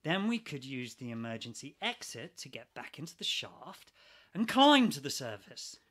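A man's voice: medium pitch, big pitch swings, normal speed, a bit bright. A man in a radio play acting a sardonic, sweary, exasperated geology researcher who is trapped and argumentative.

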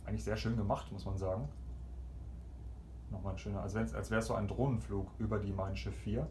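A man's voice: on the low side, steady tone, a little fast, mellow. A man speaking off the cuff in a casual, explanatory way, filming by hand in a small indoor cabin as he shows how something works. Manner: explanatory, improvising while operating the screen. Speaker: travel vlogger presenting a cabin TV system. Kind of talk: casual unscripted walkthrough commentary. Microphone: handheld camera or phone, behind the lens. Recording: clear background room noise.

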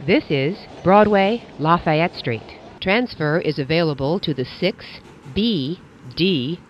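A woman reading recorded train announcements in a measured, formulaic way with careful enunciation. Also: low voice, lively intonation, dull audio, slight background noise.

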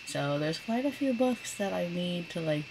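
A woman's voice: on the low side, animated, moderate pace, background noise. A woman in her thirties chatting casually as a vlogger, in an informal, rambling way. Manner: chatty, informal, frequent use of "like". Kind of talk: casual chatty vlog talk.

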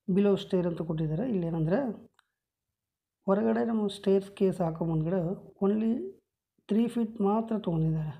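A woman's voice: lowish voice, mellow. A woman explaining a house floor plan step by step, pointing with a pen at paper drawings on a table, recorded on a phone held over the page. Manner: plain step-by-step explanation, many measurements.

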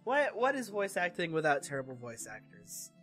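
High-voiced joking man gaming. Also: very animated, normal speed, fairly bright tone, soft background music. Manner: jokey, bantering. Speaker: let's play host. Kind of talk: casual gaming chat.

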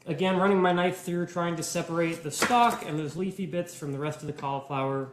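A man's voice: a little high, fairly quick, background noise. Young man teaching cooking in echoey kitchen. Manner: explanatory, step-by-step, relaxed. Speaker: cooking instructor. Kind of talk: live cooking demonstration. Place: home kitchen, indoors.

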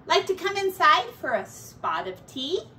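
A woman's voice: very high-pitched, sing-song, measured pace, bright, forceful tone, pitched above the performer's own voice, exaggerated voice. A woman voicing a hapless, chatty male pack rat from a children's picture book, in a folksy, exclamatory way. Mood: cheerful. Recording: roomy, distant mic, faint noise.

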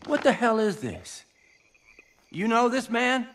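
An actor playing a suspicious, commanding resistance leader and war veteran in a game. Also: male voice, extremely high pitch, very animated, slow, fairly bright tone, a little hiss or hum, full character voice. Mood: suspicious anger. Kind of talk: video game western acting.

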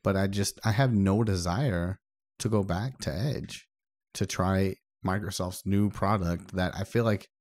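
A man's voice: deep voice, unhurried, a little mellow. A man co-hosting a podcast, discussing tech casually in a conversational, explanatory way. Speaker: podcast co-host.

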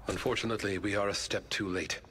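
Man acting low-voiced courteous game butler. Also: fairly even intonation, quick pace, noisy background, lightly acted. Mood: composed. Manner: formal, polite. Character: courteous, composed adult male butler leader. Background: music.

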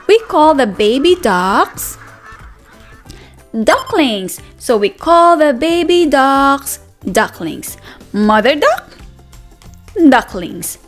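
High-pitched, slow woman reading to toddlers. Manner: sing-song, exaggerated, repeats words, asks the child questions. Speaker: children's read-aloud teacher. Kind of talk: picture-book read-aloud for toddlers. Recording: voice over background music. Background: music.

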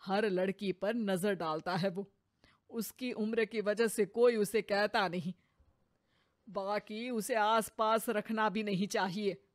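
A woman's voice: mid-range voice, fairly even intonation, slow, no room sound, studio quality, close to an everyday voice. A woman playing a cautious middle-aged mother-in-law in a cartoon story, emphatic and protective as she advises. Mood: exasperated. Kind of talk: Hindi cartoon story acting.

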